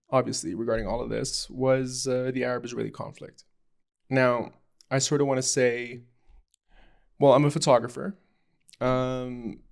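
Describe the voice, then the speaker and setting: A man's voice: mid-range voice, vocal fry. A young man from Lebanon introducing himself and his show off the cuff, hesitant and rambling, with many ums and restarts. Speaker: podcast host. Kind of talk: unscripted personal introduction.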